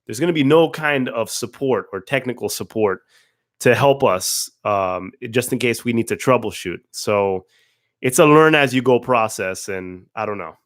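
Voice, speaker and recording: A man hosting a casual livestream, his voice raspy because he is under the weather. He talks loosely to viewers, trips over words and starts them again, and stays in a plain middle pitch. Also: normal speed, no echo, studio quality. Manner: chatty, greets viewers by name, restarts words. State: hoarse from a cold. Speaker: livestream host.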